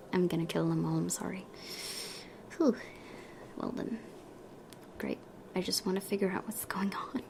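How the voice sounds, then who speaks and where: A woman's voice: mid-range voice, vocal fry, a little mellow, a little hiss or hum. A young woman hosting a gaming video, reading story narration aloud and breaking into casual commentary.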